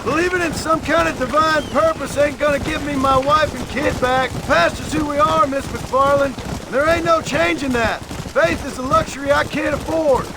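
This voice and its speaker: An actor playing a gruff, world-weary cowboy in a video game, terse and plain-spoken, with an American Western accent. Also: male voice, very high-pitched, fairly even intonation, moderate pace, background noise. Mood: weary, reflective. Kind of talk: dramatic video game acting. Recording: loud game music behind. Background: loud music, horses.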